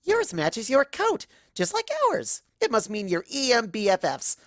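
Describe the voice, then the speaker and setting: A woman voicing an excitable young female cartoon pony, bubbly and breathless, rambling through a first-person story. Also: a little high, unhurried, no room sound, clean studio sound, pitched above the performer's own voice. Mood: giddy.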